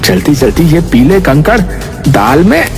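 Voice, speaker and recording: A man playing a greedy, scheming village shopkeeper in a cartoon, with a gravelly voice and exaggerated muttering and grunts. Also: a little high, sing-song, a little slow. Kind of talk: Hindi moral cartoon voice acting. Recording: music behind the voice.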